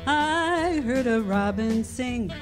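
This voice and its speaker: A woman's voice: on the high side, expressive. A woman jazz singer crooning a swing ballad on a live stage. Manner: slow, sustained crooning. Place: ballroom stage, live show. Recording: band music behind.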